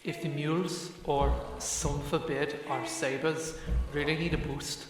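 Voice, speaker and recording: An actor playing a gruff, dismissive expedition commander in an audio drama. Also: male voice, on the high side, fairly even intonation, takes it slowly. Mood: stern, curt. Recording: very echoey, reverberant, with background noise.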